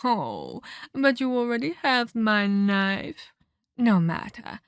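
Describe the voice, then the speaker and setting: A woman playing a haughty, menacing vampire elder in a scripted ASMR roleplay, speaking in a deliberate, taunting, condescending way with formal phrasing. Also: fairly high pitch, sing-song, smooth, no echo, heavily acted.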